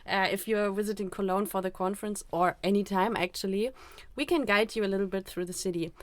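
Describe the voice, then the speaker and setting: A woman's voice: mid-range voice, steady tone, a little fast. A young woman co-hosting a student podcast in a conversational style, like an informal guide. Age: young adult. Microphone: close podcast microphone.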